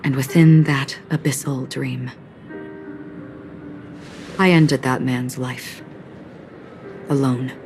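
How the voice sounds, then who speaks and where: A woman playing a melancholic lone wanderer in a video game, speaking in a measured, grave, reflective way with weighty pauses. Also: rumbling low, steady tone, a little mellow, faint music behind, full character voice. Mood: calm, melancholic. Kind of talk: video game cutscene voice acting.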